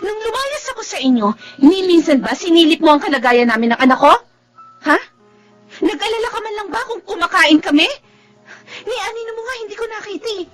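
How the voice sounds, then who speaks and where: A woman's voice: very high voice, lively intonation, fairly quick, a bit bright, soft background music. An actress playing a hurt, proud single mother in a radio drama, with a Filipino accent and emphatic delivery. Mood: hurt, angry.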